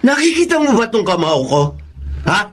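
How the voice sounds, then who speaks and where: An actor playing a hot-headed, pushy young son in an emphatic Tagalog radio drama style. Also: male voice, very high-pitched, very animated, normal speed, husky, exaggerated voice. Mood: angry, taunting. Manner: emphatic, melodramatic, confrontational.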